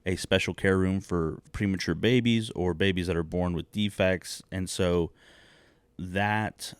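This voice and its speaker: A young man who grew up in China, a podcast guest, recalling his upbringing in a measured, reflective way with frequent fillers and restarts. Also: low-pitched, clear, resonant tone, no room sound. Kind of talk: reflective personal storytelling.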